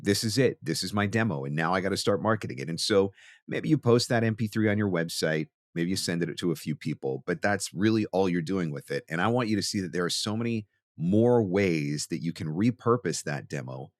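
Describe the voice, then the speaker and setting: A man's voice: low voice, dry recording, clean studio sound. A man hosting a podcast, giving marketing advice in an emphatic, conversational way and speaking straight to the listener. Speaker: podcast host; voice actor.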